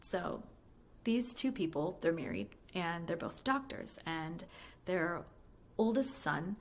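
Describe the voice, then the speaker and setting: Woman, lowish voice with heavy vocal fry, chatty fan musing aloud in a casual voicemail style, some background noise. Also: sing-song, takes it slowly. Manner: musing, conversational, heavy use of "like" and "you know". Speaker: high school English teacher and book fan.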